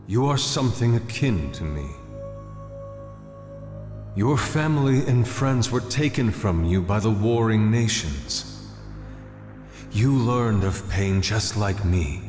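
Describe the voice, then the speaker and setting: A man playing a solemn, godlike villain in an anime game dub, grave, measured and commanding. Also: lowish voice, a little mellow. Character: solemn, godlike male villain preaching pain. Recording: echoing hall reverb.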